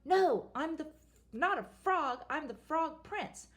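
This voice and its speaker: A woman's voice: sits high, expressive, slow pace, voice pushed up. A woman playing a flustered frog prince. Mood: pleading. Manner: flustered, pleading, tripping over words. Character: desperate young male frog prince.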